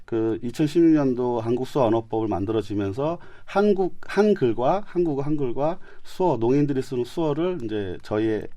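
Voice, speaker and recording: Fast-talking man with a dark voice. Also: medium pitch, lively intonation. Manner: explains with examples, chuckles at himself. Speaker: sign language interpreter, guest. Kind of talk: radio interview.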